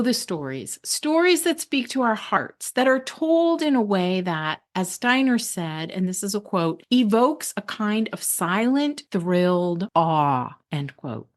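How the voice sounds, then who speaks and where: Woman hosting a podcast, measured and deliberate. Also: mid-range voice. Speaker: podcast host. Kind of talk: scripted podcast narration about homeschooling.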